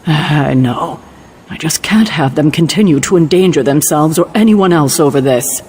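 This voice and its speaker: An actor playing a stern, protective male leader in a video game, crisp, formal and easily indignant. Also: a little high, lively intonation, normal speed, a little mellow, a little hiss or hum, natural voice. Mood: concerned. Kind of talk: video game cutscene acting.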